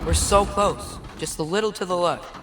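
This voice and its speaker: A man's voice: high voice, moderate pace, heavy background noise, close to an everyday voice. A young man playing an eager, curious teenage boy adventurer in a video game, talking in a chatty way. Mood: coaxing, focused. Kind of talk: video game cutscene acting.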